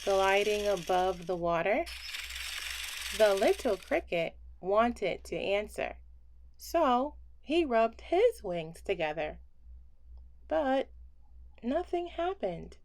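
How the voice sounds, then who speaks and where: A woman reads a bedtime story aloud at a very slow, deliberate pace. Her pitch rises and falls in a lively way, and she leaves pauses between short phrases. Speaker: children's story reader.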